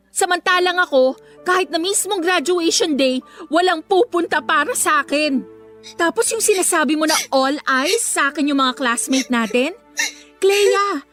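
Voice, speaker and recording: A teenage schoolgirl in a Filipino radio drama, with a very high, girlish voice and a Filipino accent. She is earnest and wounded, defending herself with emotional reproach. Music plays behind her. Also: fairly bright tone.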